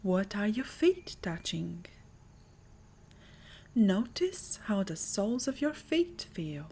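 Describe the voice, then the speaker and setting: A woman with a soft, breathy voice narrating a guided meditation in a soothing, measured manner. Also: medium pitch, big pitch swings, a little hiss or hum. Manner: soothing, measured, breathy delivery with lingering pauses.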